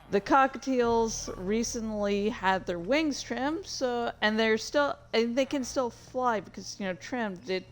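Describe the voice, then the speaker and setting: A woman's voice: mid-range voice, a little hiss or hum. A woman hosting a livestream in casual, scattered chat, easily distracted and swearing freely. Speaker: streamer and host of a dramatic reading series. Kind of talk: casual, rambling livestream chat while playing a game.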